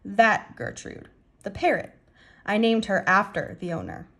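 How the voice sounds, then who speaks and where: A woman's voice: medium pitch, very animated, unhurried, vocal fry, fairly bright tone, heavily acted. A woman reading the part of a shy male pet shop clerk in a story, hesitant, mumbling and unsure. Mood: flustered, hesitant.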